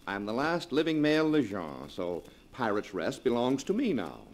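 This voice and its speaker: A man's voice: mid-range voice, sing-song, normal speed, a little hiss or hum, natural voice. A man acting a suave, sardonic husband from a sinister family in a 1940s radio drama, theatrical and mockingly casual. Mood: proud, breezy.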